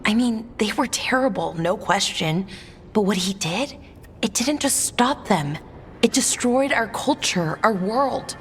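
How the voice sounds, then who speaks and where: A woman's voice: mid-range voice, animated, a little slow, in a large hall, slight background noise, close to an everyday voice. A woman playing an earnest, thoughtful elven scholar who explains things in natural fantasy game dialogue. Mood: troubled.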